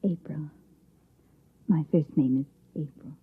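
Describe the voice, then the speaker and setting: An actress playing a deferential young secretary with a breathy, coaxing manner, in an old-time radio drama style. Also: female voice, low-pitched, fairly even intonation, slow, heavily acted. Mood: tender, coaxing. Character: solicitous young secretary coaxing her boss with soft devotion. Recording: thin, narrow-band vintage radio recording.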